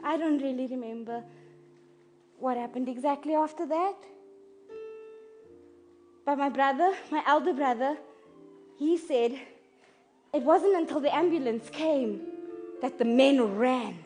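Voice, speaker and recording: A woman playing a shaken child who tells a story in an earnest, halting, repetitive and emotionally intense dramatic monologue. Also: sits high, a little mellow, faint music behind. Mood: hushed, uneasy. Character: shaken child earnestly recounting a violent night at home.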